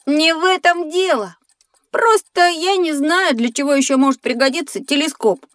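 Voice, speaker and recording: A woman's voice: sits high, moderate pace, no echo, clean studio sound. A woman voicing a naive, curious young schoolboy who tends to whine, in an educational audio play. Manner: childlike, earnest, expressive. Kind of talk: scripted educational audio drama.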